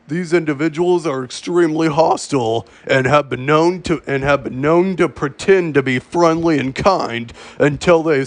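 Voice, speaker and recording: Guy doing a fake emergency alert announcer, real slow, slightly high voice, kinda halting. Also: dry recording. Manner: halting delivery, repeating phrases. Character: grave, official government emergency broadcast announcer. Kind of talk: scripted mock emergency alert broadcast.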